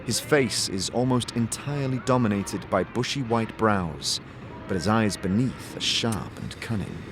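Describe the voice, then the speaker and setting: A man's voice: fairly low pitch, expressive, measured pace, noisy background. A man giving dramatic, scripted second-person narration for a fantasy audio drama. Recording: music behind the voice.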